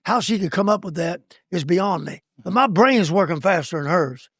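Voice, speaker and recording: Raspy, high-voiced coach preaching grit. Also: male voice, animated, normal speed, dry recording, clean studio sound. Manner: punchy, preachy motivational talk with sudden loud bursts. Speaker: podcast co-host, coach. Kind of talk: casual podcast chat.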